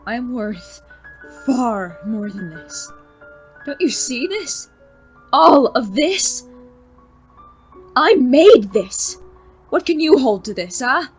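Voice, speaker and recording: A woman's voice: sits high, very animated, slow pace, pauses often, exaggerated voice. A woman playing a smug, bitter male chemistry teacher turned supervillain in a scripted audio drama, with a mocking, condescending, theatrical delivery. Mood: grandiose, fervent. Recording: music behind the voice.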